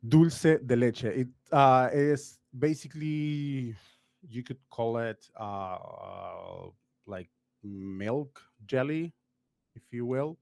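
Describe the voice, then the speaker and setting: A man chats as a podcast guest, rambling and filling gaps with "like" and "uh". His voice sits at an ordinary pitch and sounds dry and close on the mic. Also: big pitch swings, drawn-out words, vocal fry, a little mellow, no room sound. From Uruguay. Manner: rambling, heavy on "like" and "uh" fillers. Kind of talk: casual podcast chat.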